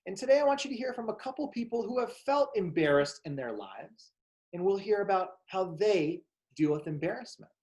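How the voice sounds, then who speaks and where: A man hosting a psychology show for kids, speaking in a slow, calm, encouraging way. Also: sits high. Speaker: show host. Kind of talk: gentle talk to children.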